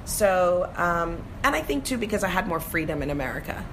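Middle-aged woman from Jamaica, a low voice, easygoing storyteller, faint ambience. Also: a little fast, slight background noise, rough recording. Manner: relaxed storytelling, frequent restarts. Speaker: guest. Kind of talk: casual interview chat.

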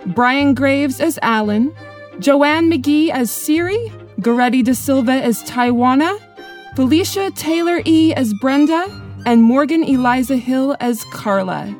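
A woman's voice: sits high, darkish tone. A woman announcer reading a scripted introduction for a podcast.

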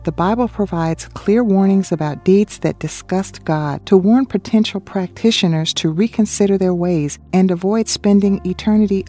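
A woman co-hosting a Bible study, reading scripture aloud in a measured, deliberate way. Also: on the low side, mellow.

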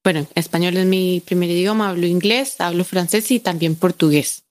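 A woman's voice: on the low side, normal speed, a little mellow. A young woman with a Costa Rican Spanish accent, an interview guest talking in a chatty, enthusiastic way, full of fillers and tag questions. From Costa Rica. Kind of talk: conversational interview.

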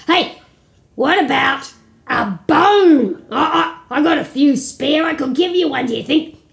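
A big, goofy storybook dog, eager and playful, stumbling over its words as it offers help, put on by a woman. The voice sits a touch lower than the storyteller's usual, yet stays unhurried and bright. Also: creaky.

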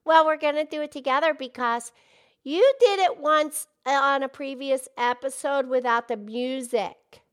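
A woman's voice: high voice, moderate pace, a bit bright. A woman voicing a cheerful, chatty female pig who hosts a puppet show, talking in bubbly, eager, rambling bursts.

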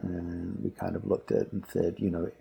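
A man in his fifties from New Zealand, a company co-founder, speaking haltingly as an interview guest with many fillers. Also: sits low, level tone, creaky, mellow, breathy edge. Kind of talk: interview conversation.

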